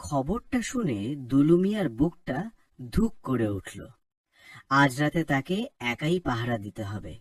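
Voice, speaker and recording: A man's voice: medium pitch, sing-song, a little slow, no echo, far from the mic. A man narrating a village folk tale cartoon in a scripted storybook style. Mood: storytelling.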